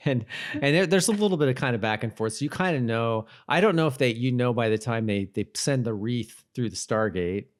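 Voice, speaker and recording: A man's voice: medium pitch, animated, a little fast. A man co-hosting a podcast, chatting casually about a show, agreeable and often thinking aloud hesitantly. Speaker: podcast co-host. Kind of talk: casual TV episode recap chat.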